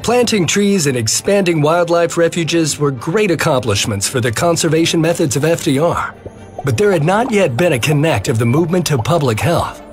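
A man's voice: a little high, natural voice. A man voicing an earnest American environmental historian giving scripted explanatory commentary.

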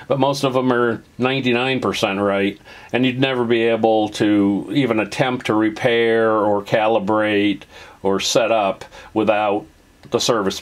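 A man giving a casual demo as a repairer, talking informally and thinking aloud with hesitations. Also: mid-range voice. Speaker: vintage audio repairer and presenter. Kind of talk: casual explanatory demo commentary.

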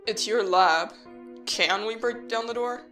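A woman playing an earnest, cautious human child in a fan audio drama, measured, serious and matter-of-fact. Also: fairly high pitch, over music, close to an everyday voice. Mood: questioning.

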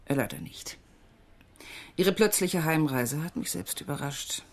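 An actress playing a weary, evasive middle-aged aid organisation manager in a German radio crime drama, husky and world-weary. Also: female voice, deep voice, animated, a little slow, full character voice. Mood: regretful.

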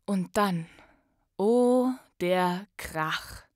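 A woman's voice: on the low side, drawn-out words, no echo, studio quality. A woman narrating a storybook expressively, with dramatic emphasis on words. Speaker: actress and voice artist, guest reader.

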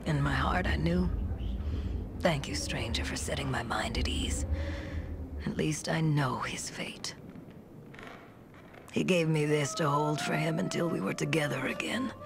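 A woman voicing a grieving, withdrawn townswoman in a fantasy video game, half whispering in a breathy, creaky voice. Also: sits low, a little slow, long pauses. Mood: sorrowful. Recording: noisy game capture with ambience.

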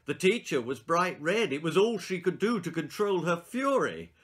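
Animated older male storyteller, bright voice. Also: a little high, moderate pace, husky. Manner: expressive, theatrical, emphatic. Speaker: author reading his own children's stories. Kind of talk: dramatic children's storytelling. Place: small book-lined room indoors.

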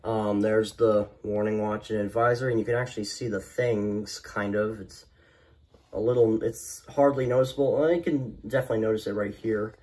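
A man's voice: lowish voice, steady tone, moderate pace, dark tone. Young dude doing an off the cuff hobby review, kinda rambly, trails off a lot, filmed on phone in his room. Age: teenager or young adult student. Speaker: weather radio hobbyist reviewer. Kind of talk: casual off-the-cuff product review. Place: small room indoors. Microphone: handheld phone camera.

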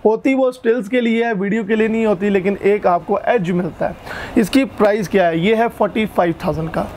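A man in his thirties with a hoarse throat, explaining camera specs and prices one by one in a shop, recorded on a lapel mic. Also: high voice, brisk, a little hiss or hum. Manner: steady explanatory, lists features one by one.

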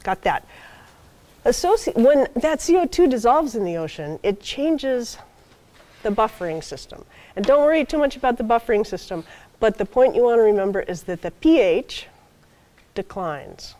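An older woman giving a university guest lecture at a podium in a lecture hall, soft-spoken and explaining step by step with frequent ums and okays. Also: a little high, sing-song, moderate pace. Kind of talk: university seminar talk.

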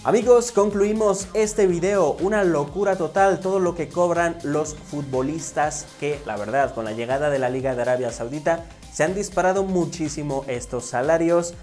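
A man's voice: on the high side, normal speed, few pauses, darkish tone. A man in his thirties narrating a scripted football countdown as an online video host, with emphatic presenter-like delivery. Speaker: YouTube host. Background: background music.

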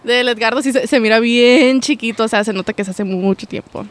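A woman's voice: fairly high pitch, a little fast. A woman in her twenties with a Mexican Spanish accent hosts a casual chat, talking in a slangy, effusive way into a close podcast mic. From Phoenix, USA. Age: 20s. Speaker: podcast host. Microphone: podcast microphone on boom arm, close.

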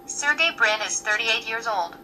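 Female synthetic voice assistant, very sharp and bright, short answers, phone speaker with faint noise. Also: fairly high pitch, lively intonation, normal speed, low-quality recording. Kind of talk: short spoken search answers.

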